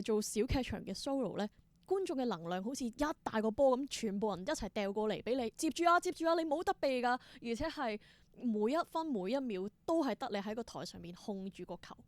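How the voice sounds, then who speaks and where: A woman's voice: a little high, no room sound. A woman with a Hong Kong Cantonese accent answering as a theatre performer in an interview, explaining things conversationally and dropping in English terms.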